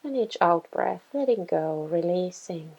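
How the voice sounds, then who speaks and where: A woman leads a guided meditation at a very slow pace, with gentle pauses between phrases. Her voice is fairly low and soft-edged, with a creaky fry running through it. The delivery is soothing and instructional. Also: animated, muffled recording. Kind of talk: guided body scan meditation.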